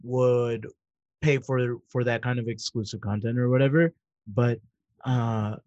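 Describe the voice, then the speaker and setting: A man's voice: mid-range voice, fairly even intonation, measured pace, a little mellow, dry recording, lo-fi sound. A man in his thirties in casual chat, rambling and correcting himself as he goes, laughing easily. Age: 30s.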